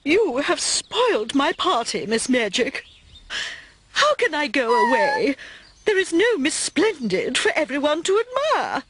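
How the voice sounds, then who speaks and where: A woman's voice: high voice, wildly swooping pitch, unhurried, background noise, full character voice. An actress voicing a vain, prim cartoon lady who speaks in an indignant, proper way.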